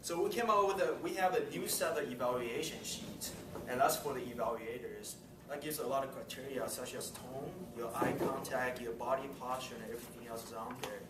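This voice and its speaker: A man chats through club announcements in a friendly, easygoing way, laughing between remarks. He sits far from the mic in an echoey meeting room. Steady room noise fills the background. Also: mid-range voice, brisk. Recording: roomy, distant mic, loud room noise.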